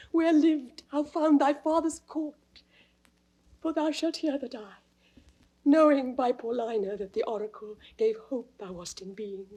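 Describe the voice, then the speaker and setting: A woman acting a long-hidden queen and tender mother in classical Shakespearean audio drama, reverent and measured. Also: fairly high pitch, dark tone, breathy edge, poor audio.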